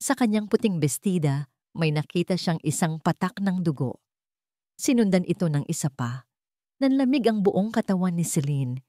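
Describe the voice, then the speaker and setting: Lowish-voiced woman narrating a dramatic story. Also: lively intonation, normal speed, mellow, no echo, clean studio sound.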